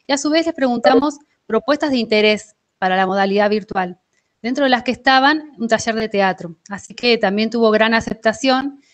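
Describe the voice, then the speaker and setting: Argentine woman, thirties, fairly high voice, workshop organiser presenting on a video call from home. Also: a little fast. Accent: Argentine Spanish. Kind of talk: online presentation. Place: indoor kitchen at home. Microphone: earphone mic held near mouth, video call.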